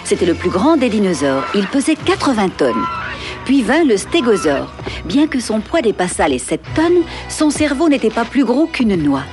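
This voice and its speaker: A woman's voice: fairly high pitch, sing-song, normal speed. A woman narrating a cartoon like a storybook, in a scripted dramatic manner, with music behind her.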